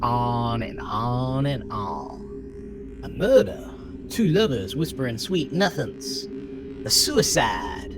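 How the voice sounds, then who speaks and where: A man narrating a horror story in a dramatic, theatrical style, with emphatic phrasing and deliberate pauses. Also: mid-range voice, very animated. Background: music.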